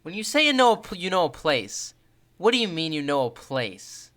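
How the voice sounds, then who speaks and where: A woman's voice: on the low side, sing-song, normal speed, fairly bright tone. Woman playing a sarcastic, swooping-voiced protective big sister. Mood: suspicious. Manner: sarcastic, challenging. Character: bossy, sarcastic, suspicious protective older sibling. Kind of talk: in-character tabletop roleplay.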